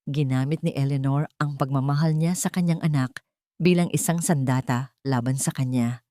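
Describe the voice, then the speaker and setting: A woman's voice: a bass voice, normal speed, dark tone, dry recording, clean studio sound. A woman storyteller delivering scripted, dramatic narration for a romance audio drama.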